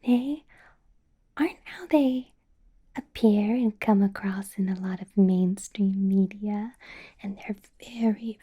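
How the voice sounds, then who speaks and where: Slow, whispering vampire boss lady, acted by a woman. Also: mid-range voice, dark tone. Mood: coaxing, confiding. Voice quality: breathy whisper. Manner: slow, coaxing, with small chuckles and pauses. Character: possessive, doting female vampire boss, sinister yet coaxing. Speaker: ASMR roleplay performer. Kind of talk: whispered ASMR roleplay.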